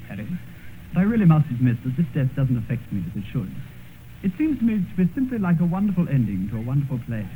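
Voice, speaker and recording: An actor playing a vain, callous young aristocrat in a theatrical, composed manner in an old radio drama. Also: male voice, mid-range voice, close to an everyday voice. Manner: theatrical, composed, coolly haughty. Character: vain, callous, beautiful young aristocratic man, secretly anxious. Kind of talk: 1940s-50s radio drama acting. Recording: muffled vintage radio recording, faint hiss.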